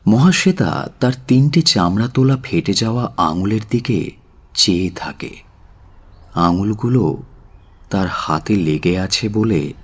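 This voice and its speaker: A man narrating a literary audio story in a measured, solemn and dramatic way. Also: lowish voice, slightly rough. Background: faint music.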